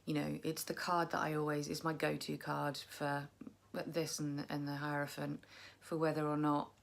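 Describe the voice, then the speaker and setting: A young woman chatting casually to camera about her tarot decks, tired and croaky, rambling and poking fun at herself. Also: sits low, completely flat delivery, normal speed. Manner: chatty, self-deprecating, rambling. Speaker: tarot vlogger.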